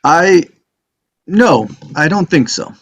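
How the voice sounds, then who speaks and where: A man's voice: on the high side, lively intonation, takes it slowly, fairly bright tone, breathy edge, full character voice. A man playing a flustered, defensive juror in a script table read.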